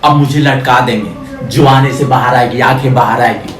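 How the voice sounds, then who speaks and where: A man's voice: mid-range voice, fairly even intonation, normal speed, over music, lightly acted. A man playing a bitter, defiant condemned prisoner in a theatrical film monologue, scornfully declaiming at a crowd and repeating words for emphasis. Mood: bitter scorn. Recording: echoey, distant, noisy audio.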